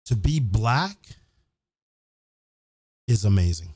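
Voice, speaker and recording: A man's voice: lowish voice, animated, takes it slowly, mellow, slightly rough, no echo, clean studio sound. A man hosting his own show, giving a blunt, profane, emphatic opinionated monologue in a casual ranting style.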